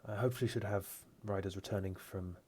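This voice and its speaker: A man voicing a formal male knight giving a measured briefing in a tabletop game. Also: fairly low pitch, fairly even intonation, darkish tone, lightly acted. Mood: grave.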